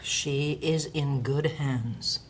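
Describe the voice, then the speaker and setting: An actress playing a stern, sanctimonious matron of an indoctrination centre in an audio drama, condescending and preachy. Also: female voice, sits low, fairly even intonation, very slow, low-quality recording. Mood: cold, condescending. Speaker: actor.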